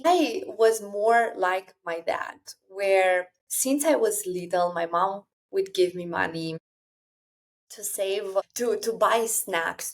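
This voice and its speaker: A woman in her thirties from Romania with a Romanian accent, speaking English as a second language while hosting a solo podcast in an earnest, confiding way straight to her listeners. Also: mid-range voice. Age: 30s. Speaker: podcast host. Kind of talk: solo personal podcast monologue.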